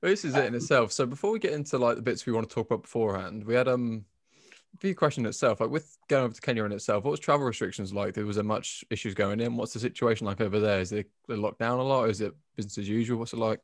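Fast, low-voiced male interviewer, dry close sound, loose rambling questions. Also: no echo. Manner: rapid, loose questions with fillers. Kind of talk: casual conversational questions.

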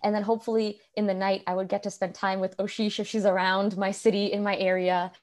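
Young woman host, relaxed and friendly, filler-heavy casual talk. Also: mid-range voice, fast. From India. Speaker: podcast host. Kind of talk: casual conversation.

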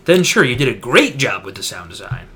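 A man's voice: mid-range voice, very animated, fairly quick, sharp tone. A man co-hosting a gaming podcast, chatting casually in an emphatic, slangy way and often repeating himself for effect. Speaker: podcast co-host.

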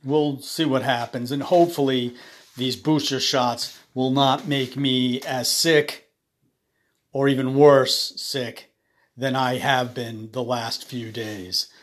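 A man hosting his own podcast while ill, his voice raspy, talking haltingly with many ums and uhs into a phone. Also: mid-range voice, steady tone. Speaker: podcast host. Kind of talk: casual solo podcast monologue.

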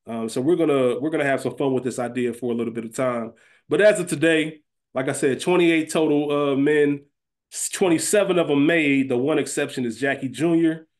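Man, medium-pitched voice, podcast co-host, informal, explanatory, casual discussion. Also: a little fast.

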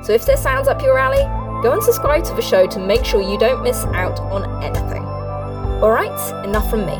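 A woman's voice: on the high side, sing-song, moderate pace, recorded from a distance. A woman hosting a podcast, giving a scripted introduction. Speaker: podcast host. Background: music.

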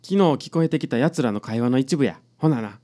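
A woman's voice: extremely low pitch, expressive, fairly quick, warm tone. A woman playing a casual, sly part-time cafe worker with a Kansai accent in a radio drama. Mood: breezy, sly.

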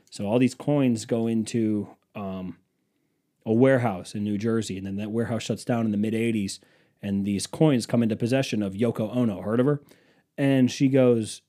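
Young man, twenties, low warm voice, rambling profane phone vlog. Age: 20s. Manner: profane, self-mocking, wandering asides. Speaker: vlogger. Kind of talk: rambling casual storytelling. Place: museum exhibit, indoors. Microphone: phone camera.

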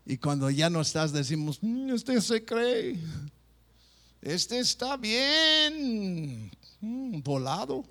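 A man's voice: high voice, very animated, slow, sharp tone. A middle-aged man preaching a sermon, playful, mimicking people, with stop-start phrasing. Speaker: pastor.

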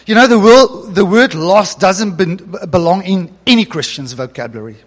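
A man's voice: sits high, expressive, normal speed. A male pastor whose first language is Afrikaans, telling a story in an earnest sermon that builds to emphatic preaching.

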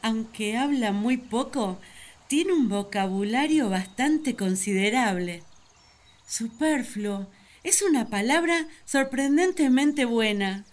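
A woman's voice: fairly high pitch, animated, slow pace. A woman playing Eve, an earnest, talkative young first woman, telling her story in a radio play. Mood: intrigued. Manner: earnest, wistful, storytelling to the listener.

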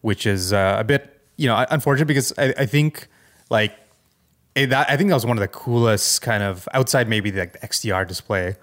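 A man co-hosting a podcast, chatting casually about tech news and thinking aloud in a halting, conversational way. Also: fairly low pitch, a bit bright. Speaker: podcast co-host.

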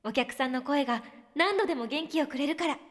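Young female shop manager, cheerful radio drama lead, very high lively voice. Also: a little fast. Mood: reflective. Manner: expressive, animated. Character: cheerful young woman running a barley tea shop. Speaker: actor playing shop manager.

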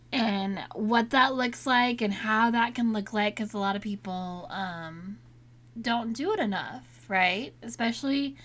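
A woman in a casual solo talk, still getting over a cold, so her voice is a little raspy at times; she speaks in a chatty, candid way. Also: a little high, a bit bright. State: recovering from a cold, slightly raspy. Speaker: podcast host.

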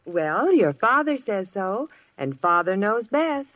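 A woman's voice: mid-range voice, big pitch swings, takes it slowly, over loud music. An American actress playing a patient, practical middle-aged housewife and mother in a 1950s radio sitcom. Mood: pleasant. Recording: muffled lo-fi 1950s radio recording.

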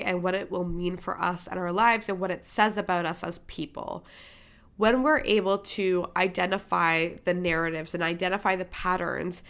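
A woman's voice: medium pitch, gravelly, a bit bright. A young woman from Michigan hosting a podcast, talking candidly and emphatically in a casual motivational monologue, swearing freely. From Michigan, USA. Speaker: podcast host.

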